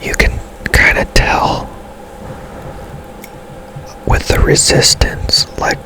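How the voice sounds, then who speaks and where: A man hosts gaming ASMR entirely in a breathy, unvoiced whisper. He takes his time, leaving his words slow and spaced out. He sounds hushed and unhurried, as if thinking aloud while he plays. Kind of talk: whispered ASMR game commentary.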